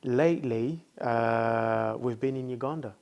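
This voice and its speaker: A man's voice: medium pitch, measured pace, vocal fry, darkish tone, clean tone, lo-fi sound. A young man from France, a company founder, talking as a guest in a casual interview, thoughtful and hesitant, with many fillers.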